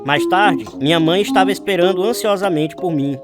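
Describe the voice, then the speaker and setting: Earnest young man acted, fairly high, over music. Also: moderate pace, natural voice. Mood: earnest, reflective. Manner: earnest, sincere storytelling. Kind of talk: first-person dramatized testimony narration.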